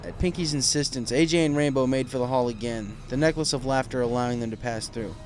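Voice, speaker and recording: A man's voice: mid-range voice, fast, a little mellow, faint music behind. A man giving scripted narration of a dramatic story as its narrator.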